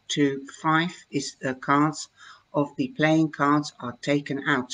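Unhurried Dutch-accented older woman. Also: deep voice, poor audio. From Netherlands. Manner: slow, chatty, with many hesitations. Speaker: tarot reader. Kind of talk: live-streamed card reading talk. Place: home room, indoors. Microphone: webcam at desk.

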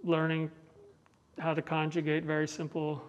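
Higher-pitched, even-toned American man. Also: normal speed, muffled recording. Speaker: bell ringer giving a tour. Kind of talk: explanatory interview chat. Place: large indoor tower room. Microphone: clip-on mic on the chest.

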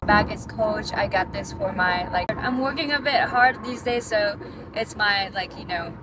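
A young woman from Japan, a native Japanese speaker, chatting casually to her phone camera, with many "like" fillers and quick self-corrections. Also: mid-range voice, heavy background noise. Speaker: vlogger and pro dancer. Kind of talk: casual vlog chat to camera. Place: bathroom, then parked car.

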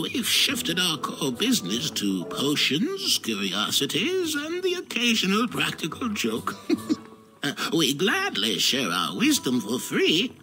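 An actor voicing a jolly old potion seller and prankster in an adventure game. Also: male voice, high voice, bright, forceful tone, heavily acted, poor audio. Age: older. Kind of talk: animated adventure game voice acting. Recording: music playing behind the dialogue.

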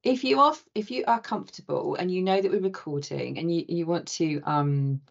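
A woman's voice: lowish voice, normal speed, creaky. A middle-aged woman hosting an online book talk from a room with bookshelves, effusive and rambling, with many restarts. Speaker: host and interviewer. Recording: dull lo-fi video call audio.

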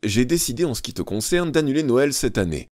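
A man reading aloud who voices a tyrannical, pompous middle-aged father who blusters and shouts. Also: medium pitch, very animated, a little fast, no room sound, studio quality, pitched above the performer's own voice, close to an everyday voice.

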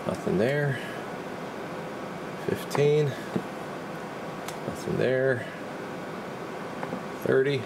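Relaxed man testing radios. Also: mid-range voice, gravelly, noisy background. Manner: relaxed, halting, talks while working. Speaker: radio repair technician. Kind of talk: casual workshop demonstration. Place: radio workshop.

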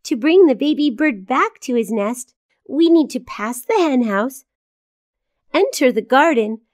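A woman voicing a cheerful, kindly little girl in a children's cartoon, speaking simply and clearly to young viewers. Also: sits high, expressive, unhurried, a little mellow, dry recording, studio quality. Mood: gentle, caring.

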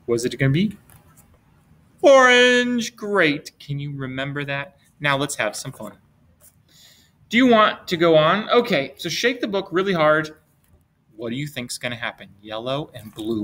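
Animated man reading aloud to young children. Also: a little high. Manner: playful, expressive, with drawn-out words and simple questions. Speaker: teacher reading a picture book to children. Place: indoors at a desk, webcam with book camera.

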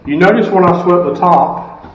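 Middle-aged custodian in an echoey stairwell. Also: male voice, sits high, moderate pace, a little hiss or hum. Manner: plain-spoken, explains step by step, asks rhetorical questions. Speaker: school custodian. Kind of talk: casual how-to instruction. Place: school stairwell, indoors, hard walls. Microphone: camera some distance off. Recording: echoey stairwell, distant and dull.

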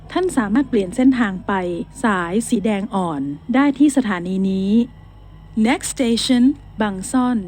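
A woman gives recorded announcements of stations and transfer lines. She reads slowly and evenly, with a faint wash of background noise. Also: mid-range voice, darkish tone. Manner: slow, evenly spaced announcements in two languages. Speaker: train announcer.

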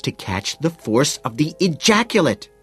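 A man putting on a high-pitched, exasperated young woman. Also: sing-song, a little slow, breathy edge, faint music behind. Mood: curt, impatient. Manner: shrieking, indignant delivery. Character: irritable, exasperated young woman, gasping and shrill.

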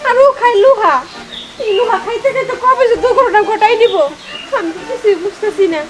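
A woman playing an exasperated village mother in a comic skit, scolding with sharp rhetorical questions. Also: very high voice, fairly quick. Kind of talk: low-budget comic skit acting. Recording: noisy, with animals and outdoor ambience.

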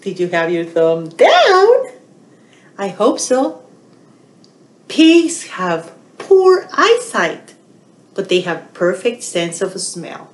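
A woman's voice: mid-range voice, takes it slowly, pauses often. A middle-aged woman hosting a storytime for young children, speaking to them directly. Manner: animated, addressing little children directly. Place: small indoor room. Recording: roomy, echoey home webcam sound.

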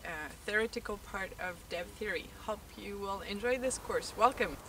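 A woman's voice: mid-range voice, normal speed. A woman from France with a mid-range voice introduces herself, friendly and a little hesitant. She is recorded outdoors from some distance. There is wind noise behind her. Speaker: course instructor. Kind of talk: brief self-introduction. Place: outdoors by bare shrubs.

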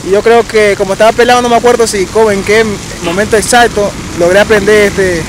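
Young man answering in a relaxed, halting way. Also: high voice, noisy background. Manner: relaxed, hesitant, with restarts. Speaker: street marimba player. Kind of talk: casual interview answers. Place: city park outdoors.

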